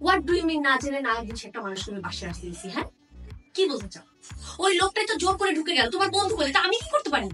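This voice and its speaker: An actress with a Bangladeshi accent playing a suspicious, annoyed wife in a short-film drama, sharp and confrontational. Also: female voice, high voice, very animated, rapid-fire, exaggerated voice. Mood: annoyed, defensive. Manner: sharp, confrontational, mixes in English. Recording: distant mic with background music.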